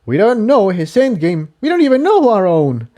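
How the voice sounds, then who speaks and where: A man's voice: high-pitched, wildly swooping pitch, moderate pace, warm tone, pitched above the performer's own voice. A man voicing an anguished, angry young male unicorn pony in a fantasy story, agitated and argumentative. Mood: angry, bitter.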